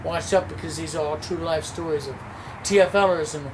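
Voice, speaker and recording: A man's voice: on the high side, fairly even intonation, normal speed, background noise. A man urging viewers to speak out, emphatic and exhorting, often asking "okay?". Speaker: movement organizer.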